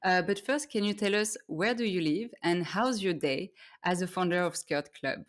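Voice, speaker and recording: A woman's voice: lowish voice, normal speed. A native French-speaking woman with a French accent, casually chatting as a podcast interviewer.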